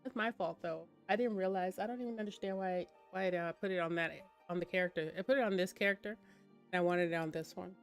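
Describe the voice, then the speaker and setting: A woman's voice: on the low side, fast talker, soft background music. A young woman streaming a game, chatting casually and rambling with self-mocking asides while nursing a slight cold. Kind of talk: casual live-stream chat while gaming.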